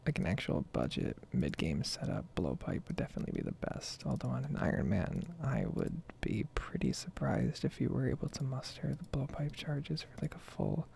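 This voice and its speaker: A soft-spoken, unhurried gaming host giving a hushed, whispery ASMR ramble over gameplay. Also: medium pitch, huge pitch swings. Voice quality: whispery, creaky.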